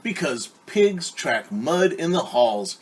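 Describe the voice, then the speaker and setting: A man's voice: fairly high pitch, big pitch swings, slow pace, slightly rough, pitched above the performer's own voice, full character voice. A man voicing a stern, unbending school principal in a storybook, firm and scolding in manner.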